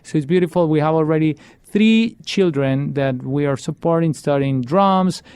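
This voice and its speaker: Mellow dry-voiced man in studio interview. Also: on the high side, moderate pace, smooth, dry recording. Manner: warm storytelling with fillers and restarts. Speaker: musician guest. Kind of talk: interview answers. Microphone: studio microphone.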